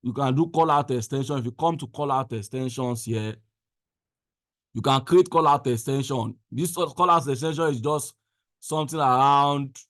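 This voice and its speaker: A man presenting a screen-share software tutorial in an instructional way, repeating phrases for emphasis. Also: medium pitch, normal speed, dry recording, studio quality. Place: indoors, voice-over on a screen recording.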